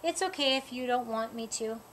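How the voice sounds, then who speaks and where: A woman voicing an excited, cheerful young girl hippo in a storybook, exclaiming eagerly. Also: fairly high pitch, a little fast, slight background noise, lightly acted. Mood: friendly, reassuring. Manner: exuberant, exclaims and repeats words eagerly.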